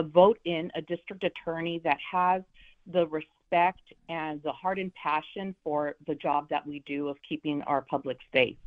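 A woman from Los Angeles County, a prosecutor running for office, speaking firmly and deliberately as a radio guest. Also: on the low side. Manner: firm, measured, with frequent "uh" fillers. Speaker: prosecutor and political candidate. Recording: phone line.

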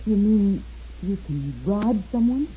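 A woman's voice: mid-range voice, animated, slow, dark tone, slightly rough, heavily acted. An actress playing a polite, anxious young frontier woman with a hesitant manner in a 1950s radio western. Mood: worried. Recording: dull, hissy 1950s radio recording.

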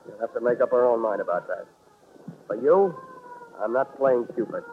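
An American actor playing a wry, hard-boiled investigator in a 1940s radio drama, clipped and sardonic, on a tinny old recording. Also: male voice, mid-range voice, lively intonation, moderate pace, background noise, lightly acted. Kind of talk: 1940s radio detective acting, dry first-person narration.

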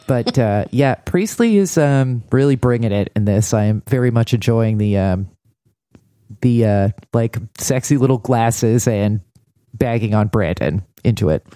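A woman's voice: extremely low pitch, lively intonation, unhurried, warm tone, dry recording. A woman co-hosting a casual TV recap podcast with a dry, blunt, sardonic delivery. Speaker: podcast co-host.

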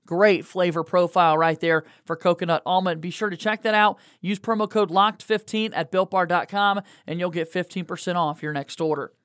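A male sports podcast host with a noticeably high voice. He talks in a conversational presenter's style, and the sound is very dry with no room on it. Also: moderate pace, few pauses, fairly bright tone, clear, resonant tone, dry recording. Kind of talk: conversational interview and sponsor reads.

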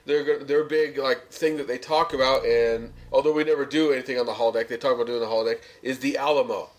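A man's voice: medium pitch, steady tone, fast. A man chatting casually as a podcast co-host, recapping a television episode.

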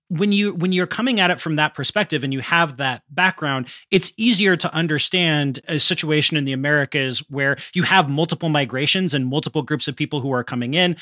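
A man's voice: a little high, pushed and bright, no echo, clean studio sound. A man hosting a conversational academic interview, thinking aloud in tentative, circling phrases. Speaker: podcast host and interviewer.

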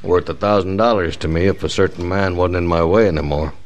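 Actor as bullying low-voiced western town boss. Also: male voice, brisk, close to an everyday voice. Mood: cold, boastful. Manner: blunt, bullying, folksy western drawl. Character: domineering, menacing rancher and town boss. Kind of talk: 1960s western radio drama acting.

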